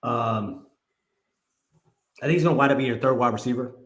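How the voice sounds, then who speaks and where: Guy co-hosting a sports chat show, rambling and animated, mid-pitched voice, roomy echo. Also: normal speed. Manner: animated, loose and rambling with filler words. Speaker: co-host. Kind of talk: casual sports talk show. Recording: echoey room.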